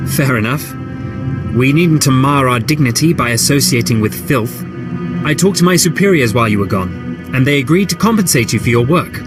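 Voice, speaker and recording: A man plays a video game imperial officer with a medium-pitched voice. He is disdainful of those beneath him and deferential to his lord, speaking as if in a briefing. Music runs underneath. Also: lively intonation, normal speed, close to an everyday voice. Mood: approving. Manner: contemptuous, briefing a superior.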